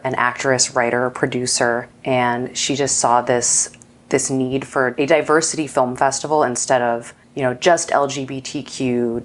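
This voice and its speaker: A woman speaking casually as an interview guest, informal and peppered with "like" and "you know". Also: rumbling low. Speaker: film festival director, interview guest.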